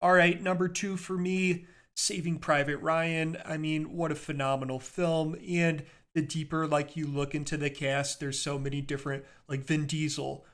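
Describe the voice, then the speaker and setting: Male podcast co-host, slightly high voice, conversational, enthusiastic, wandering and self-correcting. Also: little pitch movement, normal speed, fairly bright tone. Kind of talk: casual chat about favorite movies.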